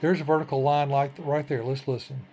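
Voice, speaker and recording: A man's voice: medium pitch, fairly even intonation, a little fast, warm tone, slight background noise. A man hosting a radio tutorial in a folksy, plain-spoken way, walking through an informal step-by-step demonstration in short phrases. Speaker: ham radio tutorial host.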